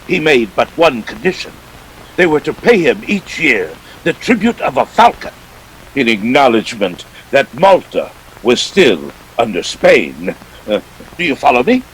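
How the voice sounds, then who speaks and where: A man's voice: mid-range voice, very animated, measured pace, fairly bright tone, slight background noise, rough recording. A man playing a genteel, verbose crime boss in a 1940s-style radio drama, pompous and courtly with a sly joviality. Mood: grandiloquent relish. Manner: pompous, courtly, chuckling formality. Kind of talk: 1940s radio villain acting.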